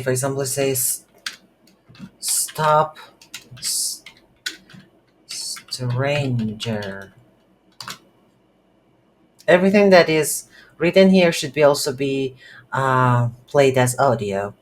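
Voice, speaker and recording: A coding instructor who is a non-native English speaker, giving an unscripted game development tutorial and thinking aloud haltingly while working. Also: mid-range voice. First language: not English. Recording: noisy, with keyboard typing. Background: computer keyboard typing.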